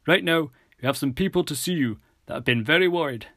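A man voicing a kindly, brisk police officer with a reassuring manner in an audiobook story. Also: fairly high pitch, pushed and bright, no room sound, clean studio sound, voice pushed up. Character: kindly, straight-talking Scottish police officer.

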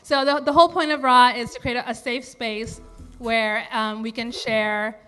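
Bright, high-voiced woman hosting, music behind. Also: steady tone, moderate pace. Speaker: host of a live storytelling show. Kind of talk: introduction to a live audience.

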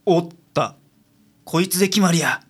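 A man acting in a radio drama as a gruff, money-minded private detective with a Kansai accent, blunt and shrewd. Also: on the high side, normal speed, fairly bright tone. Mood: blunt, brusque. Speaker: amateur radio drama actor.

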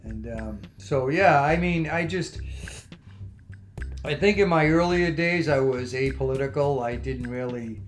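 A man, reminiscing as an interview guest in halting, reflective phrases. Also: mid-range voice. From South Boston, USA. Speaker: interview guest, former union president. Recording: music playing behind the voice.

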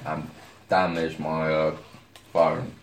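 A young man tells his story in a relaxed, easygoing way. His voice is very deep and rough with fry. There is a faint hiss in a roomy, echoey space. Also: slow. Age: 20s. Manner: laid-back, laughs through his story. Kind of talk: casual chat, storytelling. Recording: echoey room, faint noise.

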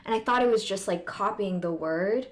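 An American woman from California hosting a podcast, chatting casually with quick asides and fillers. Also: medium pitch. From United States (California). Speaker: podcast host. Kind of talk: casual conversation.

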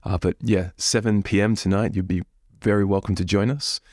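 A man with a head cold and a hoarse voice, leading prayers and giving an earnest, unhurried reflection as a church minister. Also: fairly low pitch, fairly even intonation, a little mellow, dry recording, clean studio sound.

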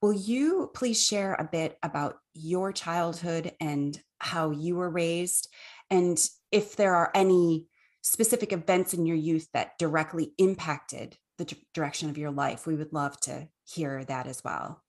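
A woman hosting a podcast interview, asking her guest questions in a warm, measured way. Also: low voice. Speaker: podcast host.

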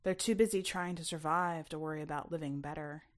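A woman's voice: sits low, fairly even intonation, brisk, close to an everyday voice. A woman voicing a weary, guarded man in a story, evasive and cynical.

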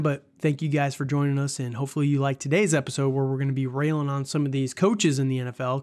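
A man with a cold, sounding stuffed up, hosting a casual sports podcast in a rambling, chatty way and laughing often. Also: mid-range voice. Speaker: podcast host.

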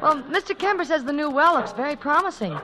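Hopeful, coaxing wife, 1940s radio comedy; high voice on a very noisy old broadcast. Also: female voice, expressive, quick pace. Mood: brisk, optimistic. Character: eager, optimistic wife soothing her grumbling husband.

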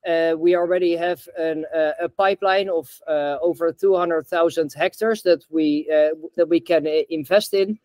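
A man's voice: a little high, fairly even intonation, normal speed, few pauses, a little mellow, smooth, no room sound. A man from the Netherlands, a guest on a podcast interview, speaking with many filler sounds and restarts. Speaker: guest, bamboo reforestation founder.

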